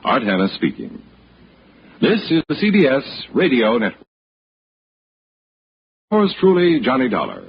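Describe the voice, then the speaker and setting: A man's voice: mid-range voice, wildly swooping pitch, a little slow, gravelly, pauses often, breathy edge. An American man announcing in a polished, formal 1960s radio style. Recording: muffled old radio broadcast, music behind. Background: music.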